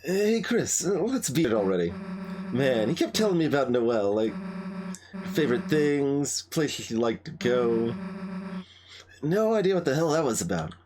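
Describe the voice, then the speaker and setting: Man voicing a brash teenage monster girl, lively intonation. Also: medium pitch, moderate pace, background noise, close to an everyday voice. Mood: casual, puzzled. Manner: blunt, slangy, teasing.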